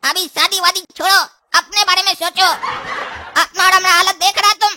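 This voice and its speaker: Very shrill, flat-toned cartoon pumpkin boy. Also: a little fast, full character voice. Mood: scolding. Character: young male cartoon pumpkin, bossy, bickering older brother. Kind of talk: comic cartoon dialogue.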